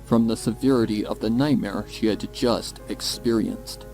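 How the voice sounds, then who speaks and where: A man's voice: lowish voice, steady tone, moderate pace, a little mellow. A man reading scripted horror fan fiction as the narrator. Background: music.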